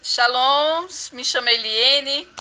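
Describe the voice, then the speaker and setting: Bright, earnest woman sending a greeting. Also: high voice, slow pace, poor audio. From Brazil. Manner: earnest, emphatic declarations. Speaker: listener. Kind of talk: listener shout-out message.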